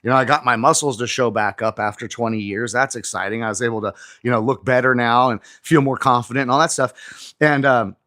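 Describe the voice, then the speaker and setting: A man in his forties talks casually to his viewers on a live stream while stretching in his garage gym. The sound is dry and close. His pitch sits at an average level, and he speaks conversationally and reads out comments as he goes. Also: fairly quick, bright, forceful tone, dry recording. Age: 40s. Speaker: livestream host. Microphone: phone camera on an auto-tracking mount.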